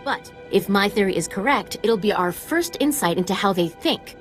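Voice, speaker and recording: A woman's voice: medium pitch, expressive, moderate pace, faint music behind, natural voice. A woman acting an earnest, idealistic young female scientist in a video game, speaking expressively.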